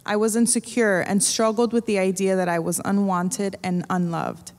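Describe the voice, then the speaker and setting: Woman interpreter, steady prepared reading, middle pitch, stand mic, long church-hall echo. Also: normal speed. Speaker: interpreter translating the testimony. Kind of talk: reading a prepared translation aloud. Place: church stage before an audience. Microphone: stand microphone.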